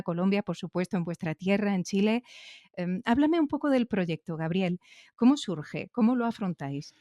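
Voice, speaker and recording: A woman's voice: medium pitch, a little mellow, no echo, clean studio sound. A woman hosting an interview podcast, presenting and putting questions to a guest.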